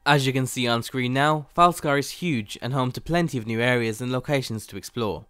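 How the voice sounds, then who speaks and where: A man's voice: mid-range voice, a little fast, fairly bright tone, clear, resonant tone, dry recording, clean studio sound. A man hosting a game mod video review, reading scripted narration. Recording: faint music behind the voice. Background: faint music.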